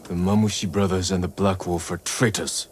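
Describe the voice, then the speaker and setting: Guy acting a grim samurai hero from a game, low stern measured voice, clear background noise. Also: fairly even intonation, close to an everyday voice. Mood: stern anger. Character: grim, resolute samurai avenger sworn to duty. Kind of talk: dramatic video game acting. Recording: noisy outdoor game ambience behind voice.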